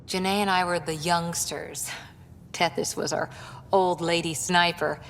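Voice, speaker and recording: An actress playing a young, steady, matter-of-fact ex-commando woman in a video game. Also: measured pace. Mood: wry, reflective. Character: young female ex-commando soldier, dry and steady. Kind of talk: video game character dialogue. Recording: long reverb, faint rumbling background noise.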